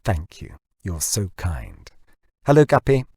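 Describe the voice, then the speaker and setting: A man voicing a bossy, fond woman, soft-edged husky. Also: sits low, huge pitch swings, slow, no echo, studio quality, full character voice. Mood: warm, nervous. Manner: gently scolding, coaxing. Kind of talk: audiobook character dialogue.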